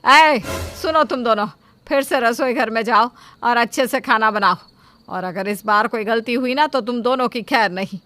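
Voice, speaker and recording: Woman acting a loud, bossy mother-in-law. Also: a little high, normal speed. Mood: bossy scolding. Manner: scolding, commanding. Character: bossy middle-aged Indian mother-in-law, demanding, fussy about guests. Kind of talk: Hindi cartoon story acting.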